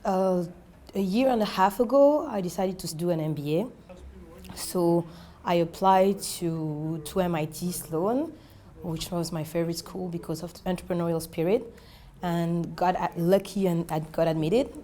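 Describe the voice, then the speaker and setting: A woman's voice: fairly low pitch, moderate pace, dark tone. A woman in her twenties from Senegal, speaking earnestly in a documentary interview in a clothing shop, often restarting her sentences. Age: 20s. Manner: earnest, self-correcting, many restarts. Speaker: entrepreneur.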